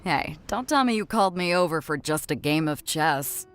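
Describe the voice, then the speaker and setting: A woman's voice: low voice, animated, moderate pace, fairly bright tone. An actress voicing a bold, blunt female ship captain in a video game, informal in manner. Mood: exasperated, amused. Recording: music under the voice.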